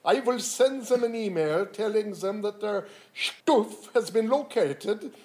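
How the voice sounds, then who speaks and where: A man playing a stern, shady office boss in an audio comedy, speaking in a formal, measured way. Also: sits high, expressive, a little mellow. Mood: smooth, dismissive. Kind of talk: audio comedy drama acting.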